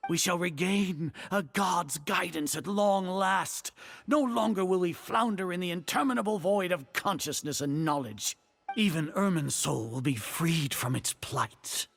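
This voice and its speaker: An actor playing a haughty, zealous elder sage in a video game, speaking in a pompous, formal, grandiose manner. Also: male voice, fairly high pitch, creaky, a bit bright, full character voice. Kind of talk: dramatic video game voice acting.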